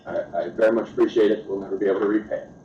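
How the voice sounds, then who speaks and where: A man speaking at a school board meeting, plainspoken and grateful, with halting restarts. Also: on the low side, fairly even intonation, slight background noise. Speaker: school administrator thanking staff. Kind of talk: informal remarks at a meeting. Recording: echoey, dull meeting-room sound.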